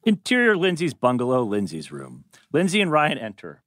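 A man narrates screenplay stage directions at a table read. His mid-pitched voice is very expressive, with a dry, close sound. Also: moderate pace, no room sound.

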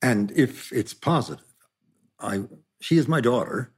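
A man playing a proud, wealthy older father, grudgingly tender, in a drama table read, with a husky voice. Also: mid-range voice, animated, a little slow. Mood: troubled.

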